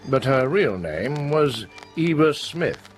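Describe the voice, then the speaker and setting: An actor playing a grave, unshakeable police inspector in a radio play, measured, polite and insistent. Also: male voice, medium pitch, big pitch swings, soft background music. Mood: matter-of-fact, probing.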